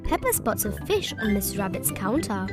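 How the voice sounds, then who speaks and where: A woman's voice: mid-range voice, very animated, normal speed. A woman narrating a children's picture book as a read-aloud. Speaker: storybook narrator. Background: music behind the voice.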